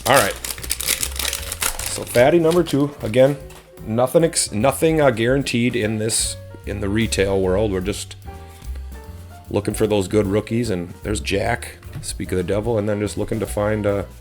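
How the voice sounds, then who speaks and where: A man with a slightly hoarse voice casually chatting as he opens card packs on his channel, relaxed and rambling with frequent ums. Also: lowish voice, normal speed, faint music behind. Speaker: host of a card-opening channel. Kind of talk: casual chat while opening card packs.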